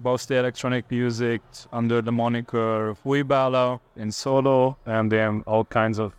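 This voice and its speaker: A man from Hungary, a guest on a panel, introducing himself in a relaxed, plain-spoken way. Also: medium pitch, smooth. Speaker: panel guest, electronic music producer. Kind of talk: casual self-introduction.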